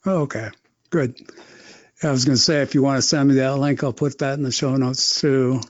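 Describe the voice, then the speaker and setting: A man's voice: medium pitch, steady tone, moderate pace, dry recording, highs cut off. A male podcast host asking brief, plain questions. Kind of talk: interview questions.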